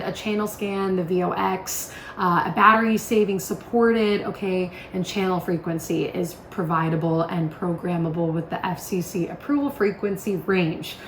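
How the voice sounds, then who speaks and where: Roomy echo, faint noise, woman, mid-pitched voice, casual product demonstrator, chatty, instructional. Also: a bit bright.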